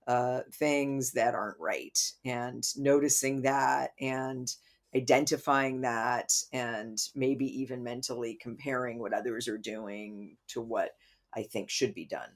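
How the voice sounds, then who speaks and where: Man co-hosting, calm clarifying voice. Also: mid-range voice. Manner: supportive, with frequent agreeing murmurs and measured clarifications. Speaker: podcast co-host. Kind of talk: conversational podcast talk.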